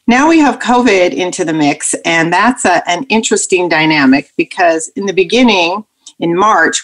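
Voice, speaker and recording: A woman physician explaining health topics on a radio talk show in a practical, even manner. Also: on the low side. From Pacific Northwest, US. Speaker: physician guest.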